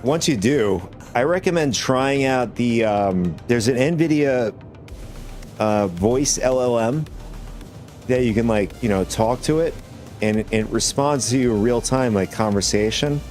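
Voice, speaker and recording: A man with a raspy voice from a cold, chatting casually with viewers while gaming on a stream, rambling with many restarts. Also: mid-range voice, animated, a little slow, a little mellow, faint music behind.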